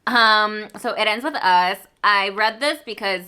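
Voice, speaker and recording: A woman's voice: medium pitch, unhurried, sharp tone, smooth. A young woman from Mexico whose first language is Spanish, chatting casually through a book review with lots of fillers and asides. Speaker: book vlogger.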